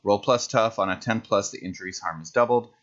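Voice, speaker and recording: A man's voice: on the low side, brisk, dull audio. A man playing a tabletop roleplaying game, talking through his moves and dice rolls in casual table talk.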